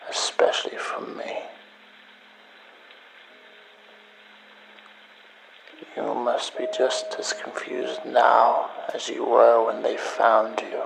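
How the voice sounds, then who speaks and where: A man playing a bitter, betrayed man leaving a cold, accusing message in an audio drama, in a hushed, deliberate, taunting manner. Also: mid-range voice, vocal fry, rough recording. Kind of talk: dramatic audio story monologue.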